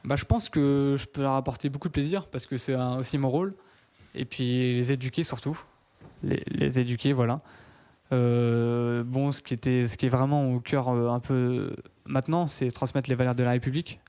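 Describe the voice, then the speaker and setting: A teenage boy answers interview questions on student radio, his voice smooth and mellow. He hesitates often, restarting sentences and filling pauses. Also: medium pitch, fairly even intonation. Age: teens. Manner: hesitant, many fillers and restarts. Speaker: interview guest.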